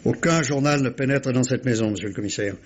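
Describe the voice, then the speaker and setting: A man acting a courteous but haughty, misanthropic gentleman in a 1950s-style French radio drama, with polished, disdainful manners. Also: mid-range voice, quick pace, natural voice. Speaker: actor in a radio mystery. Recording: dull, band-limited vintage radio recording.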